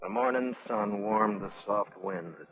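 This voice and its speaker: An actor playing a grave, brooding western narrator in a 1950s radio drama, with a measured, dramatic delivery. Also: male voice, fairly low pitch, steady tone, fairly bright tone, breathy edge, highs cut off, exaggerated voice. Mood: sombre, hushed. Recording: vintage radio recording.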